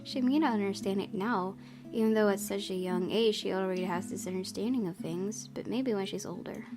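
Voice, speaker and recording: A woman's voice: medium pitch, a little fast. A woman hosting a game playthrough, reading story narration expressively and adding casual commentary. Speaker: gaming video host. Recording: game music behind.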